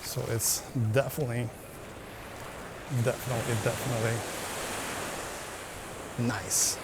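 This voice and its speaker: A man's voice: mid-range voice, level tone, measured pace. A man narrating a casual travel vlog as he strolls along a beach at sunset, talking in an easygoing way and repeating words as he goes. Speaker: travel vlogger. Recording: outdoor beach recording with surf noise. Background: waves and surf.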